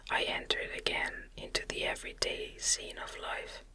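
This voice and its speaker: A woman gently reading a novel aloud in a breathy, unvoiced ASMR whisper, slow and deliberate. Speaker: audiobook reader.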